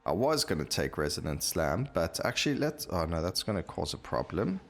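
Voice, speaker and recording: Low-voiced man commentating a video game. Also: big pitch swings, fairly quick, vocal fry, a bit bright, soft background music. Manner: thinking aloud, explaining choices as he plays. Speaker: gaming YouTuber.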